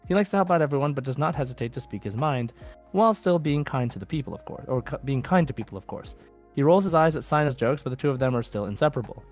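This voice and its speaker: A man's voice: mid-range voice, animated, fast, darkish tone, soft background music. A man hosting a podcast in casual chat, reading out listener messages with informal, goofy asides. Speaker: podcast host.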